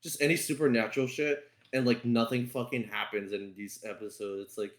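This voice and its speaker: A man's voice: mid-range voice, steady tone, a little fast, roomy sound. A man chatting casually on a podcast, rambling and swearing freely as he goes. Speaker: podcast co-host. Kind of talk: casual chat.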